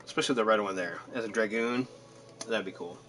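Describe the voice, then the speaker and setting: A man's voice: on the low side, quick pace, a bit bright. A man giving casual gameplay commentary, rambling and joking as he thinks aloud. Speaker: gamer commenting on a playthrough.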